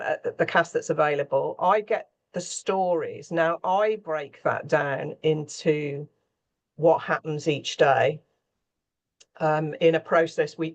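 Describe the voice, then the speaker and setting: Chatty woman explaining her work. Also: low-pitched. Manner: discursive, chatty, self-interrupting. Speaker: scriptwriter, interview guest. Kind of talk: informal explanation in conversation.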